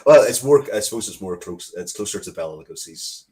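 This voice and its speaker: Low-voiced man in thoughtful interview chat. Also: lively intonation, dark tone. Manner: halting, thoughtful, repeats words as he goes. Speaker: interview guest, writer of Dracula comics and media. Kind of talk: casual interview conversation.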